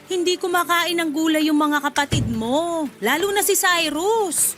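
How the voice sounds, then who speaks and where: A high-pitched, middle-aged Filipina mother in a radio drama, demanding and wheedling. She nags and pleads with her grown children over faint background music. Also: normal speed, fairly bright tone. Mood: complaining. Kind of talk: Tagalog radio drama acting.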